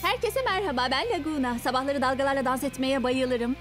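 A woman's voice: high-pitched, a little fast, fairly bright tone, faint music behind. A woman voicing a cheerful teenage monster schoolgirl in a cartoon dub. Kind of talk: animated cartoon dubbing.

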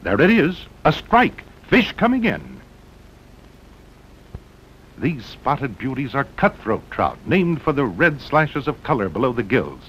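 A man's voice: wildly swooping pitch, measured pace, fairly bright tone. An American man narrating a 1960s promotional documentary film in a dramatic way, with punchy short phrases. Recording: muffled old film soundtrack with rumbling noise.

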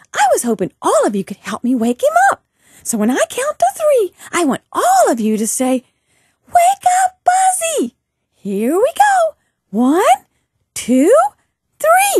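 A woman's voice: extremely high pitch, huge pitch swings, slow pace, a little mellow, no room sound, clean studio sound, full character voice. A woman voicing a perky, bossy little girl puppet, speaking singsong to children in a puppet show. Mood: excited. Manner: singsong, addressing children directly.

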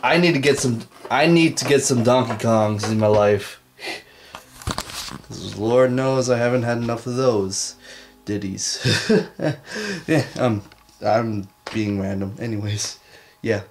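A man's voice: mid-range voice, slow pace, echoey room, slight background noise. A young man vlogging casually from his bedroom, gushing and rambling about games, filmed on a camera held at arm's length. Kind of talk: casual vlog chat. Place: bedroom at home.

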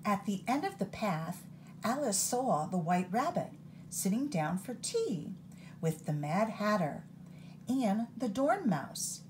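A woman reading a picture book aloud for storytime, her voice rising and falling expressively. She takes it slowly and deliberately, recorded close on a phone above the page. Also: mid-range voice, a little mellow, slight background noise.

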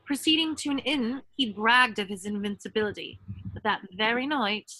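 A woman's voice: on the high side, animated, normal speed, bright, forceful tone, no echo, low-quality recording. A woman playing an earnest, bookish young witch in a scripted table read over a video call. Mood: solemn storytelling. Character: bookish, earnest young witch reading a dark fairy tale.

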